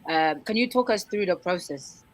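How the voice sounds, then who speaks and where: A woman's voice: on the low side, lively intonation, moderate pace, rough recording. A woman hosting a casual interview, prompting her guests in an informal, encouraging way. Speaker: host.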